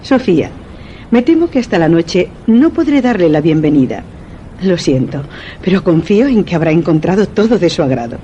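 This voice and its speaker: A woman's voice: lowish voice, sing-song, warm tone. An actress playing a stern, formal mistress of a mysterious house, reading her letter. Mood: stern, courteous. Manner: formal, measured, commanding. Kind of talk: radio theatre letter voice-over. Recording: dull filtered sound, faint noise.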